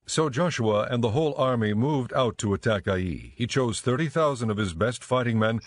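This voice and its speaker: A man narrating scripture as a scripted story, in a measured storytelling manner. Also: medium pitch, animated, dry recording, studio quality.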